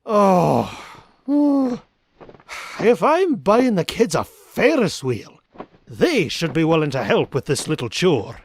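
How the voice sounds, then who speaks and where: A miserly, indignant old male cartoon duck, for a comic dub. The pitch is high and swings up and down, and the words come slowly. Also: huge pitch swings, breathy edge, heavily acted. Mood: dismayed. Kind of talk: comic book fan dub.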